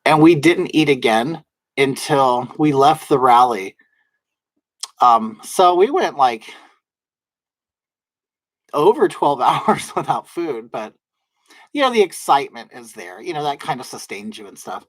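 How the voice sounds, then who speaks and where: Soft-voiced man chatting on a livestream. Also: mid-range voice, lively intonation, a little slow, long pauses, no echo. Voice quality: soft, feminine-sounding voice. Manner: rambling, chatty, many fillers and restarts. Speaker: livestream host. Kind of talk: casual livestream chat answering viewers. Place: at home in drag, wig on.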